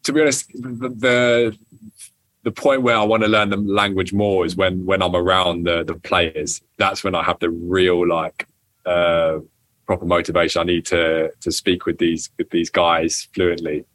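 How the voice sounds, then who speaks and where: A young man speaks in a deep, low voice, recorded dry and close. He answers an interviewer casually, often restarting his sentences. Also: flat delivery, a little slow, fairly bright tone, no echo. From England. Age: young adult. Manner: hesitant, many restarts and ums, laughs often. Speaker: footballer guest.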